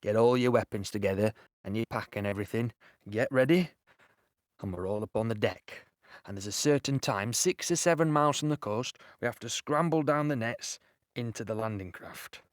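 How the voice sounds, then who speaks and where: A man playing a young soldier in an audio play, telling his war story in a conversational, excitable, unbothered way. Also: mid-range voice, no echo, clean studio sound, close to an everyday voice. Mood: tense anticipation. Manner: conversational, storytelling with repeated "you know". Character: young British soldier recounting D-Day, excited, chatty and unbothered. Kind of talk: dramatic first-person monologue in audio play.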